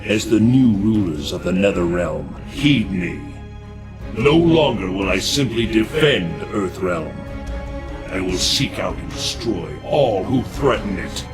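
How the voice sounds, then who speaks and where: A man playing a merciless warrior god in a fighting game cutscene, declaiming gravely and resolutely. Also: low-pitched, expressive, slow pace. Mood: stern, vengeful. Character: stern, wrathful warrior god turned merciless ruler. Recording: music behind the voice.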